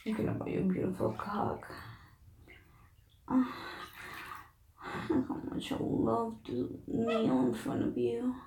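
Breathy whispering woman in echoey bathroom. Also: a little high, takes it slowly, pauses often, dark tone. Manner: breathy, often whispering, moaning. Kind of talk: intimate erotic audio monologue. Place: bathtub in a bathroom. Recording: echoey bathroom, distant mic.

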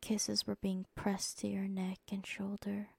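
A woman whispering an erotic story softly and dominantly into a close mic. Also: medium pitch, completely flat delivery, unhurried, vocal fry, breathy edge, dry recording. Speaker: erotic audio narrator.

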